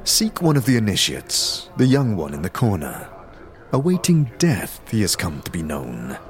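A man playing a solemn Dustman elder in a fantasy audio drama, speaking in a measured, formal, philosophical manner. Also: lowish voice, wildly swooping pitch. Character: solemn Dustman elder preaching oblivion and detachment from emotion.

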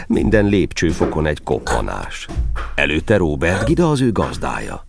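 A man playing a wry, patient narrator telling a children's story in a radio play, with a playfully deliberate delivery. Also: very deep voice, huge pitch swings, vocal fry, music in the background, full character voice. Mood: wry storytelling.

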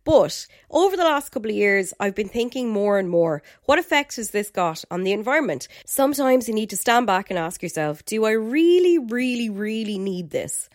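An Irish woman hosting a podcast in a chatty, enthusiastic way, her voice a little croaky from a head cold. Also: mid-range voice, sing-song, normal speed, hardly any pauses, no echo, studio quality. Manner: chatty and enthusiastic, with filler words. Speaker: podcast host. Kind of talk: casual podcast chat.

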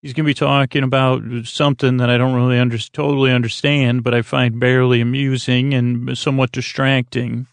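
A man hosting a bedtime podcast in a meandering, halting monologue, stammering and often trailing off mid-thought. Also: mid-range voice, level tone, smooth, no echo. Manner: acts out imagined back-and-forth dialogue. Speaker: podcast host.

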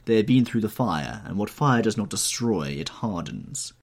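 A man's voice: on the low side, lively intonation, moderate pace. A man playing a witty cynical lord. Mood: wry. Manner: languid epigrams, drawling. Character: witty cynical aristocratic gentleman.

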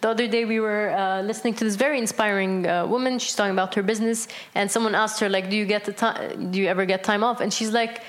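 Brief: Palestinian woman founder, earnest and rambling, lots of fillers, mid-pitched conversational voice. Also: fairly even intonation, fast talker, few pauses. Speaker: business founder, interview guest. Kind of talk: conversational interview answers.

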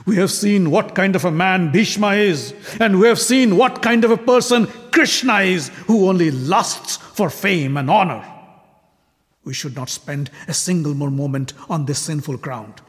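Male storyteller doing a raging haughty king, pitched high, ringing reverb. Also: measured pace, natural voice. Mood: rousing. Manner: scornful, ranting accusations. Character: furious arrogant male king, insulting and shouting. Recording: long hall reverb.

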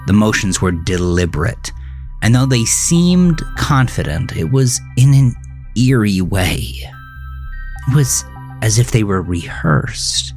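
A man reading a scripted horror thriller story in a measured, deliberate way. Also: lowish voice. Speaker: narrator. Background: music.

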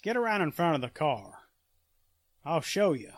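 A menacing rural killer played by a man talks in a fairly high voice, recorded close and dry. He is folksy, terse and coldly threatening, a classic 1940s radio drama villain. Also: big pitch swings, moderate pace, dry recording.

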